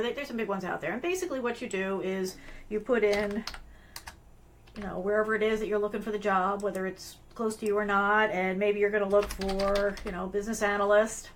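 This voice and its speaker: A woman's voice: medium pitch, steady tone, fairly quick, a little hiss or hum. A middle-aged woman hosting a live career webcast, talking in a loose, rambling way with many fillers and restarts, her voice strained from allergies. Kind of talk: casual live-stream talk with screen sharing.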